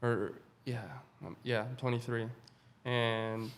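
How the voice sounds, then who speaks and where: A man's voice: mid-range voice, little pitch movement, slow, a little hiss or hum. A man in his twenties, a pro ball player, talking casually as a podcast guest and often filling with "man" and "like". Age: 20s. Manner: laid-back, peppers speech with "man" and "like". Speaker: professional baseball player, guest. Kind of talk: casual interview talk.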